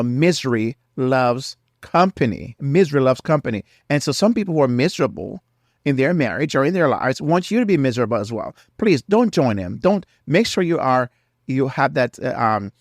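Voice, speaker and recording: A man's voice: mid-range voice, dry recording, studio quality. Nasal man with a head cold. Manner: earnest, preacherly, repeats key phrases. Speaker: podcast host. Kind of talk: faith-based marriage advice monologue.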